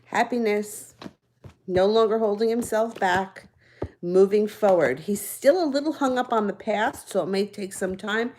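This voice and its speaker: A woman's voice: medium pitch, unhurried, a little mellow, a little hiss or hum. A woman giving live-stream tarot readings in a casual, chatty, reassuring way, a little out of breath and slightly nasal from congestion. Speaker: tarot card reader.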